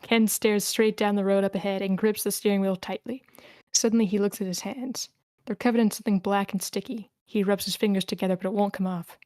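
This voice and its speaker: A woman's voice: mid-range voice, fairly even intonation, brisk, warm tone, dry recording. A woman reading the stage directions of a screenplay as scripted narration at a table read.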